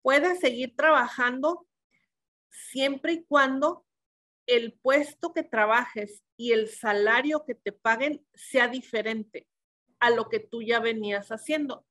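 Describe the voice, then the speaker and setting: A woman's voice: a little high, slow, a bit bright. A middle-aged woman from Mexico giving a webinar, methodically explaining pension rules, with frequent fillers and self-corrections.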